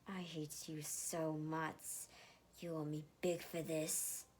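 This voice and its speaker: A woman's voice: low voice, little pitch movement, slow pace, darkish tone. A woman voicing a grumpy, sarcastic young woman in an amateur story reading. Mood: irritated. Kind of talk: amateur fanfiction read-aloud. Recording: noticeable background noise.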